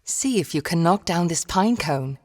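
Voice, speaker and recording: A woman's voice: on the low side, expressive, normal speed, big-room sound. A woman voicing a playful, bubbly, protective young girl, a big sister in a storybook game. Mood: playful, eager. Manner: bubbly, bright-spirited.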